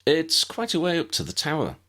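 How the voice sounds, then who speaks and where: A man's voice: on the high side, wildly swooping pitch, a little fast, pushed and bright, pitched above the performer's own voice, heavily acted. A man voicing a helpful, reassuring older schoolgirl witch in a storybook, friendly and explanatory.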